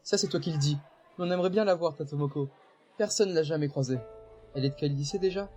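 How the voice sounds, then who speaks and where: A man's voice: fairly high pitch, fast talker, lightly acted. A man voicing a boastful teenage boy who tells stories animatedly and teases, with music behind. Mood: teasing. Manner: animated storytelling, teasing. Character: boastful teenage boy, baseball-loving classmate. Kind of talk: fan audio drama acting.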